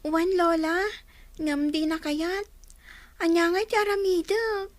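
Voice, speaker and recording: Young grandchild, northern Filipino accent, piercingly high voice, anxious and earnest, close dry sound. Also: female voice, takes it slowly, dry recording. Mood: worried. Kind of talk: radio drama acting.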